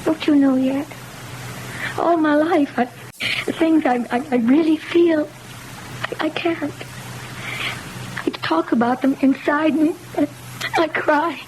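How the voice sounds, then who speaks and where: An actress playing a tormented, exhausted wife full of resentment in an old-time radio horror drama, intense and halting. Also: female voice, high-pitched, steady tone, darkish tone. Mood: anguished resentment. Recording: faintly noisy vintage radio recording.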